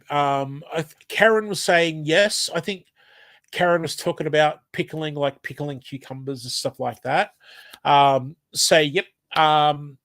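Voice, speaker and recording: A man's voice: fairly high pitch, a bit bright, no room sound. An Australian man with hay fever and a blocked nose, casually reading a live stream chat aloud, hesitant and apologetic, with lots of ums.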